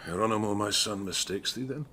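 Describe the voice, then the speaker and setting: Stern, low-voiced noble duke. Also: male voice, brisk, sharp tone, slightly rough. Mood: stern, probing. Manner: stern, formal, questioning. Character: authoritative noble Spanish duke, an anxious father. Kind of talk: classical verse radio drama acting.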